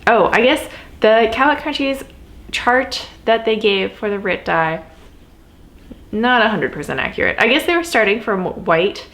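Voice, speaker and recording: A young woman talks casually to her viewers with a stuffy, sniffly nose, full of little laughs and fillers. Her voice sits a bit low for a woman. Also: fairly bright tone. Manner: chatty, laughs often, many fillers. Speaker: cosplay vlogger. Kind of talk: casual talk to camera.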